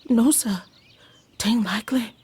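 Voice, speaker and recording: A woman's voice: on the high side, measured pace, darkish tone, slightly rough. An actress playing a worried young servant girl from the in a video game, deferential, hesitant and plain-spoken. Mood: anxious, sad. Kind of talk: video game cutscene acting.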